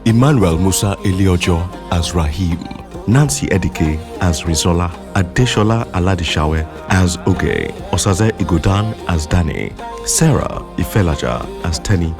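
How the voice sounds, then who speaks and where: A man's voice: low-pitched, animated, moderate pace, over music. A man reading scripted audio drama credits as the announcer.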